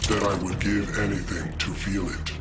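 Cold game cyborg , male actor: low, precise, emotionless menace over heavy music. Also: fairly even intonation, moderate pace, natural voice. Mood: cold, menacing. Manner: formal, clipped, unfeeling, precise. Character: cold emotionless evil cyborg seeking human feeling. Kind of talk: video game villain dialogue.

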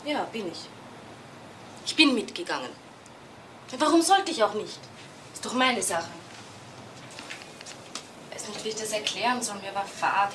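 An actress playing a carefree, defiant young hairdresser in a radio crime drama, heard a bit off-mic. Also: female voice, mid-range voice, lightly acted. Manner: breezy, defiant. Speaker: witness. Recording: distant voice, hissy old radio tape.

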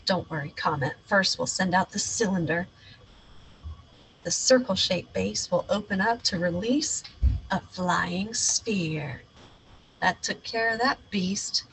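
A woman's voice: mid-range voice, slow, vocal fry, fairly bright tone, slightly rough, heavily acted, low-quality recording. A woman voicing a brave, dramatic boy who plays a space captain in a storybook. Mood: reassuring. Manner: dramatic, exclamatory. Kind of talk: storybook read-aloud character.

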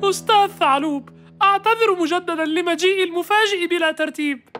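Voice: extremely high pitch, fast talker, a bit bright, soft background music. A woman voicing a worried male carpenter in a cartoon, who speaks politely and apologetically. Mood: grateful.

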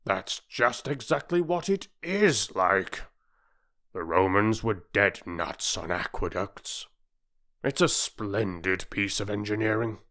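Man as kindly country doctor, storybook, slow, cheerful, reassuring. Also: on the low side, lively intonation, bright, forceful tone, heavily acted.

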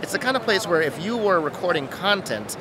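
A man's voice: sits high, lively intonation, fairly quick, background noise. A middle-aged American man vlogging casually into a handheld phone microphone at arm's length inside a huge, busy train station hall. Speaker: product reviewer, vlogger. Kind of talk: casual off-the-cuff vlogging to camera. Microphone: handheld phone rig mic at arm's length. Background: busy station crowd ambience.

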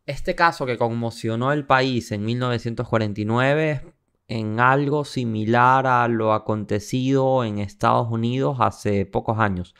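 A man co-hosting a podcast, explaining events in measured narration. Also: mid-range voice, a bit bright, clear, resonant tone. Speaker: podcast co-host.

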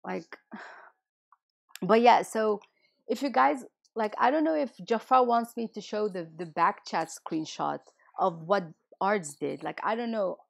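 A hoarse woman who is losing her voice hosts a livestream and rants indignantly, firing rhetorical questions at her viewers. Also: mid-range voice, slow. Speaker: livestream host. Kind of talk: heated casual livestream rant.

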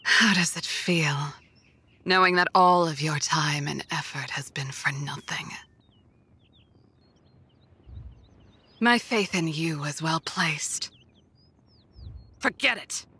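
A woman voicing a haughty, menacing sorceress in a video game, theatrical and breathy. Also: lowish voice, pushed and bright, exaggerated voice. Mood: cold menace, then cool disdain. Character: sinister, haughty dark sorceress with godlike contempt. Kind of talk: video game character voice lines.

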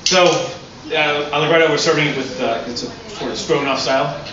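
A middle-aged man, a chef, explaining a dish in a relaxed, enthusiastic way with frequent restarts, on an outdoor restaurant patio. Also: a little high, a little fast, crowd noise. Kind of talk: cooking demonstration. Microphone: far camera. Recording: echoey, distant, dull, noisy outdoor audio.

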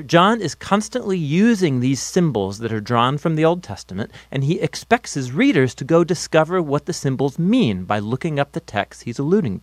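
A man narrates an explainer from a script. His delivery is articulate and instructive, at an average male pitch, in a dry studio sound. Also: dry recording.

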